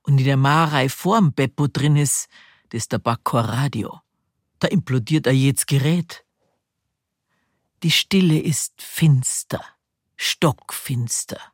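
A woman's voice: very deep voice, measured pace, husky, dry recording, clean studio sound, exaggerated voice. A woman playing an intimate, earnest storyteller with a Bavarian accent, narrating a drama. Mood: hushed, intense.

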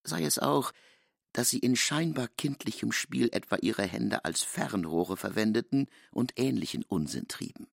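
A man narrating literary prose in a scripted studio reading, with a gravelly voice. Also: mid-range voice, lively intonation, moderate pace, no echo. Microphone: close, dry studio microphone.

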